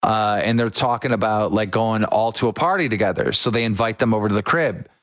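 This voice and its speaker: A young man hosting a casual podcast, an excitable storyteller who acts out what people said in quick bursts. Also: on the low side, no room sound. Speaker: podcast host.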